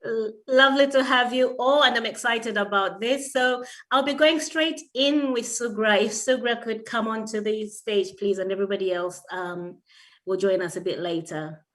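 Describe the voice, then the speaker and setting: A woman hosts a festival event in an upbeat, effusive manner, warmly praising her guest. Her voice sounds a little distant from the mic. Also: a little high, moderate pace. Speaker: event host. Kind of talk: stage hosting.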